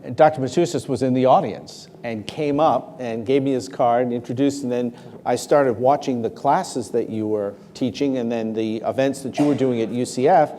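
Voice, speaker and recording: A man hosts a live town-hall interview, his mellow, relaxed voice steering the questions in a formal way. His words ring out with the long reverb of a large hall. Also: medium pitch, normal speed, a little hiss or hum. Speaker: talk show host. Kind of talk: hosting a live interview before an audience.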